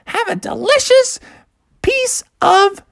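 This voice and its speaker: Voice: extremely high pitch, slow, creaky, a bit bright, no echo, studio quality, pitched above the performer's own voice, heavily acted. A storyteller voicing a friendly, gushing lizard mother in a children's story. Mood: delighted. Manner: gushing, exclaiming.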